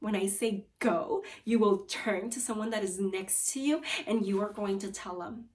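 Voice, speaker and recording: A woman teacher reading a children's story aloud and guiding pupils, expressive and instructive with deliberate phrasing. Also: medium pitch, distant mic. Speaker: second grade teacher.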